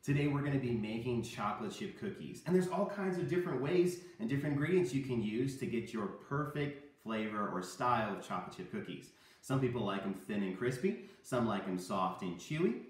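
A man in his thirties presenting a cooking lesson for children, playing the animated straight man. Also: mid-range voice, fairly quick, few pauses. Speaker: host of a children's cooking show. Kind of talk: scripted instructional presenting with puppet banter. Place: home kitchen. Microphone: camera a few meters away. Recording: very echoey room, distant microphone.

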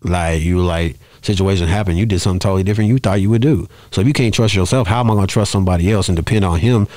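A man's voice: deep voice, very fast, darkish tone, no room sound. A man around his thirties, talking casually and rambling through stories on a close studio mic. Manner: rambling storytelling, punctuated with "you know what I'm saying". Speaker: podcast guest, rapper. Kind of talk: casual interview talk. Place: small podcast studio, indoors. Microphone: close studio microphone on arm, headphones.

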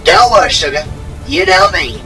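Man acting swaggering robot gunner, raised pitch, folksy, flirtatious, animated, adventure storybook. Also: moderate pace, soft background music, heavily acted, rough recording. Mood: cocky.

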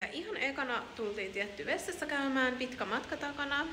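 A woman in her thirties from Finland, filming a family travel vlog on a phone held at arm's length and chattering brightly to the camera and her children. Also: high-pitched. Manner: bubbly, enthusiastic, talks to camera and her kids. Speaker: family vlogger. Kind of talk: casual travel vlog narration. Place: car, shops, hotel and outdoors. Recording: phone audio with steady background noise.

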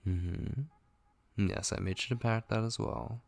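A man playing a tender, devoted young gamer boyfriend in an ASMR roleplay, speaking softly, intimately and hesitantly with a husky voice. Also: fairly low pitch, steady tone, slow, exaggerated voice. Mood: playful, affectionate. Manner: soft, hesitant, intimate, with murmured asides. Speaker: ASMR voice actor.

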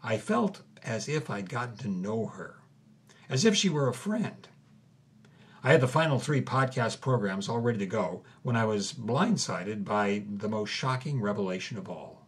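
A man's voice: lowish voice, lively intonation, normal speed, breathy edge. A man playing an earnest journalist, narrating a scripted documentary podcast in an audio drama. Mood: sincere. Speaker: host, narrator. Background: music under the voice.

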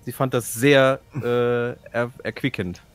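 A man's voice: mid-range voice, steady tone, takes it slowly, clear, resonant tone. A man playing a tabletop role-playing game, mixing casual chat with in-character lines.

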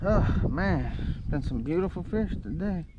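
A man's voice: fairly high pitch, a little slow. A man talking casually to the camera while fishing from a kayak on a marsh, exclaiming and swearing as the fish bite. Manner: excitable, exclaims at each catch, swears. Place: kayak on a marsh waterway outdoors. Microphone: action camera mounted on the kayak. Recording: muffled action-camera audio with loud wind and water noise. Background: water and wind outdoors.

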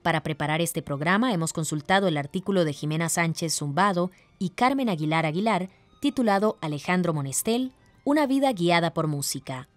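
A woman's voice: fairly low pitch, animated, brisk, no echo, soft background music. A woman reading scripted narration as the presenter of a radio programme.

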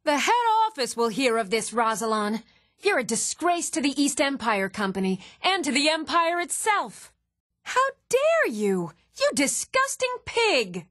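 A woman playing poised, articulate townswomen and noblewomen in a fantasy video game, with measured, formal delivery. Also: high voice, very animated, a bit bright, no echo, clean studio sound, full character voice. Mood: indignant, outraged. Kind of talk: scripted fantasy game dialogue.

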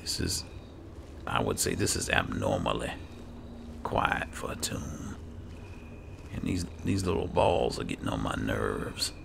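A man playing an alchemist adventurer and patient mentor in improvised video game roleplay, with a gravelly, breathy low voice and an unhurried, musing manner. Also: sing-song, background noise, full character voice. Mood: calm, instructive. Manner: unhurried, musing, hesitant asides. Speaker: gaming roleplayer. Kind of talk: improvised in-character roleplay narration over gameplay.

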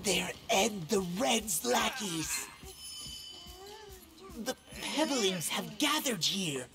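A woman voicing an eager, excitable helper in a fantasy video game, exclamatory in delivery. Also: mid-range voice, drawn-out words. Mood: urgent. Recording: game music loud behind voice.

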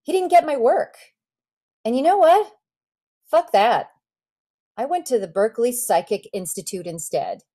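A woman's voice: fairly high pitch, animated, measured pace, long pauses, warm tone, pitched above the performer's own voice. A woman reading the part of a blunt, self-absorbed artist man in a storytelling performance, confiding and emphatic in a conversational way. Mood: defiant.

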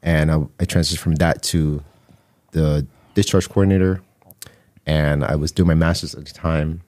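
A man's voice: very deep voice, fairly even intonation, normal speed, darkish tone, no echo. A middle-aged man from Barbados, a guest telling his story conversationally, close on a studio microphone. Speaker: guest, mental health counselor and artist. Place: small indoor podcast studio. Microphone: close studio microphone on boom arm.

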